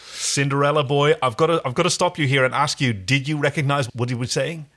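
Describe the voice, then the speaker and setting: A man's voice: mid-range voice, a little fast, fairly bright tone. A man co-hosting a podcast, recapping a TV episode in casual, explanatory and jokey chat. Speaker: podcast co-host.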